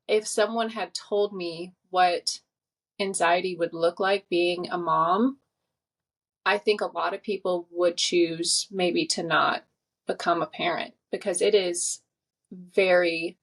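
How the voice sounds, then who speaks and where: A woman's voice: on the low side, steady tone, slow, a bit bright. A woman in her twenties, a podcast guest, talking earnestly and reflectively in casual conversation. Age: 20s. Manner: reflective, earnest, many fillers.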